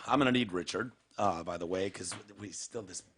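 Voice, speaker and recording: A man's voice: sits low, fairly quick, a bit bright, a little hiss or hum. A male podcast co-host with a sore, partly lost voice, chatting in a rambling, self-mocking way with many restarts. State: sore throat, voice partly gone. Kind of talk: casual chat.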